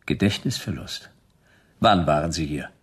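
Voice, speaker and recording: A man's voice: very deep voice, moderate pace, breathy edge, no echo. A man playing a world-weary private detective in a radio play, speaking in dry, terse, hard-boiled phrases. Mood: questioning, terse. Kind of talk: noir radio play dialogue and first-person narration.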